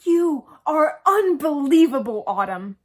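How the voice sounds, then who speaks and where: A woman's voice: high voice, big pitch swings, slow, heavily acted. A young woman acting an angry, accusing teenage girl in an emphatic stage monologue. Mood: angry, hurt. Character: furious, accusing teenage girl, spurned friend. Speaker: stage actor. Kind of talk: theatrical letter monologue.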